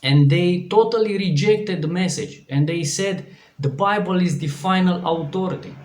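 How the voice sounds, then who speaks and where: Earnest Romanian-accented male preacher, fairly high voice, slow and deliberate, restarting phrases, in a reverberant room. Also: darkish tone. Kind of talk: devotional sermon. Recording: echoey room.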